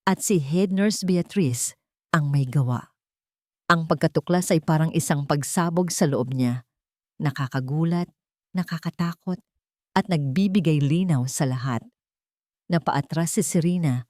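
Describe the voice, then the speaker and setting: Woman, Filipino accent, low pitch, dramatic story narration, dry recording. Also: a little mellow, no room sound.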